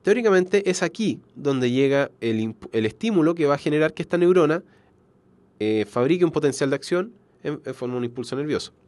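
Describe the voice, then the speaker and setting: Guy teaching an online bio class, bit high pitched, stuffed up nose from a cold, very dry sound, keeps rephrasing. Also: expressive, a little fast, darkish tone, no echo. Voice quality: nasal, congested. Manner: explanatory, frequent self-corrections and check-in tags. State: has a cold. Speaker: biology teacher. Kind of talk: live online lecture.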